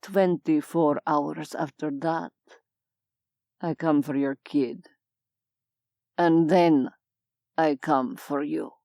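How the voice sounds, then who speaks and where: Woman doing a cold, slow-talking male crime boss. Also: low voice, fairly even intonation, gravelly, mellow, dry recording, clean studio sound, pitched below the performer's own voice, heavily acted. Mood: cold menace.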